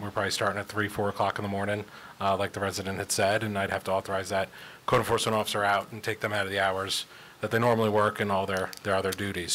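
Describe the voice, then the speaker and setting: A male township official answers residents at a meeting in a measured, explanatory way. His voice sits low and sounds bright. Faint room noise hums behind him. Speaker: township administrator.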